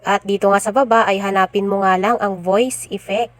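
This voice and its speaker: A woman's voice: mid-range voice, fairly even intonation, normal speed, no echo, studio quality. A woman with a Filipino accent giving a casual step-by-step tutorial voiceover as a vlogger.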